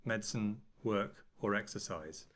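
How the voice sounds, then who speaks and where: A man with a fairly low voice reads an official public announcement. His delivery is formal and deliberate, as in a government message. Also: fairly bright tone.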